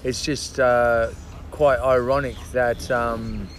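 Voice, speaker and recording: A man chatting as a podcast guest, halting and full of restarts and fillers, swearing casually. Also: medium pitch, level tone, slight background noise. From Australia. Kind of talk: casual interview chat.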